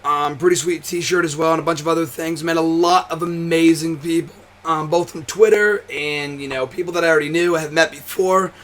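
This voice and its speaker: A hoarse, tired young man hosting a wrestling show, chatting casually to camera with a nearly gone voice, rambling, swearing and restarting often. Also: on the high side, fairly even intonation, fairly quick, hardly any pauses, fairly bright tone. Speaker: wrestling commentary host. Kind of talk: casual to-camera vlog chat.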